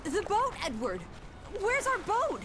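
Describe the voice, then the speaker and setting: A woman's voice: very high voice, sing-song, a little slow, very noisy, heavily acted. An actress playing a breezy, teasing young woman companion in a 1920s video game drama, wry and bossy but caring. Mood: alarmed. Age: young adult. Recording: music behind the voice.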